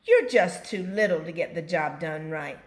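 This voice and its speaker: A woman's voice: fairly low pitch, lively intonation, normal speed, natural voice. A short-tempered male bean from a children's storybook, acted out by a woman reader. He is bossy and snappish, scolding everyone around him in exclamations. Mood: angry blaming.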